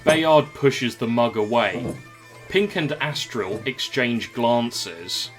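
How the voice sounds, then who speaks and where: Man, mid-pitched voice, scripted story narration, audio drama, faint music behind. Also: lively intonation, takes it slowly.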